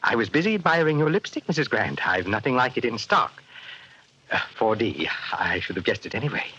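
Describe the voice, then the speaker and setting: A man's voice: mid-range voice, sing-song, fairly quick, sharp tone, breathy edge, full character voice. An actor playing a chatty, nervous male pharmacist who rambles and flirts, in 1940s radio acting style. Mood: flirty. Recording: muffled old radio recording.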